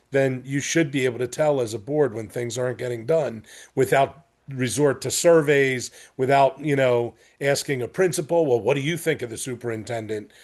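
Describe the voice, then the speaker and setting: A man's voice: medium pitch, darkish tone. American man giving conversational advice. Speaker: school board chair speaking in a meeting. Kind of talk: extemporaneous meeting discussion.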